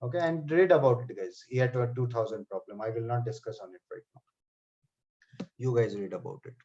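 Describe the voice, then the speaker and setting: A man leads a live online class, addressing students by name and checking in with "okay" and "right". His voice sits at an ordinary middle pitch. The call audio is slightly dull and muffled. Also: normal speed, warm tone, a little hiss or hum. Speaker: software testing trainer. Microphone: computer mic on a video call.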